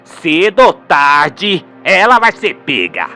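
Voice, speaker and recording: A man's voice: fairly high pitch, wildly swooping pitch, slow, pushed and bright, soft background music, heavily acted. A man voicing an older rural father, indignant and vengeful, with folksy, emphatic speech. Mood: scornful, determined.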